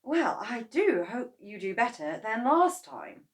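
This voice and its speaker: A woman's voice: on the high side, big pitch swings, slow pace, voice pushed up. A woman reading a children's story aloud, voicing a sceptical, complaining middle-aged farmer's wife. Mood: grumbling. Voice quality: tart, complaining tone.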